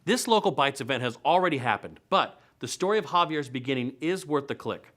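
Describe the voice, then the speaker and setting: A man's voice: mid-range voice, lively intonation, brisk, a bit bright. A man hosting a web show in a scripted, playful comedic style, leaning on words for emphasis. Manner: playful, punchy delivery with emphatic stretched words. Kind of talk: upbeat scripted comedic news and events presenting.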